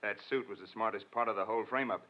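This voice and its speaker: An actor playing a menacing, gloating killer in a 1940s radio crime drama, with a sneering, boastful delivery. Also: male voice, fairly low pitch, fast talker, close to an everyday voice. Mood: gloating menace. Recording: muffled vintage radio recording.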